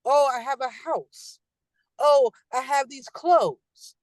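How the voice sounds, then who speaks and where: A woman hosting a podcast, speaking in an emphatic, animated casual conversation. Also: sits high, takes it slowly, no echo, low-quality recording. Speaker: podcast host.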